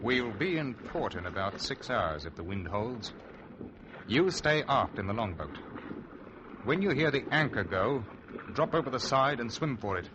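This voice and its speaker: A man playing a stern, suspicious ship's captain in an old-time radio drama, with measured, sometimes halting delivery. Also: medium pitch, very animated. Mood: grudging, guarded. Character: wary, stern male ship's captain, grudgingly bargaining. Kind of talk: old-time radio drama acting and first-person narration. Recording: noisy, muffled vintage radio recording.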